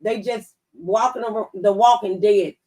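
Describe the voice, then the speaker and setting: A woman's voice: medium pitch, normal speed, a little mellow, far from the mic. A woman hosting a radio talk show, speaking emphatically as if giving testimony. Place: radio studio.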